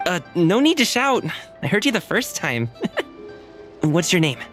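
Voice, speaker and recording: A man's voice: high voice, big pitch swings, a little slow, a bit bright, soft background music, heavily acted. A man voicing a friendly, bashful young martial dancer in a video game, with a casual, upbeat manner. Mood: easygoing, surprised. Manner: casual, upbeat, self-effacing.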